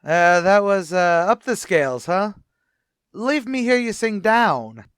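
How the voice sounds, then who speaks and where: A man's voice: sits high, expressive, slow, fairly bright tone, clean tone, dry recording, studio quality, heavily acted. A man playing a wisecracking tavern manager in an old-time radio comedy, talking in brash, ungrammatical tough-guy patter. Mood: sarcastic. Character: wisecracking middle-aged male tavern manager.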